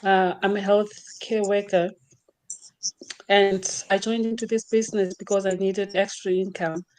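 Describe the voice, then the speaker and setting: A woman from Zimbabwe introduces herself in a steady, even way. Her pitch stays flat across her sentences, and she speaks over a video call. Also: a little slow, poor audio. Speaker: guest on live stream.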